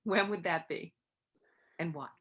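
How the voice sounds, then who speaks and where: A woman with a Boston accent hosts the interview in a slightly lowish voice. She chats easily and agreeably, filling her sentences with "you know". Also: a little slow, husky. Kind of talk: conversational interview.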